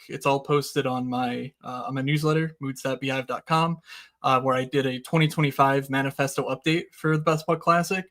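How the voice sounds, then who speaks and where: A man in a casual podcast chat explains strategy eagerly, with plenty of "uh" and "you know." His voice sits slightly high. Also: fairly bright tone. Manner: fast, enthusiastic, explanatory, many fillers. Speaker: recurring guest analyst.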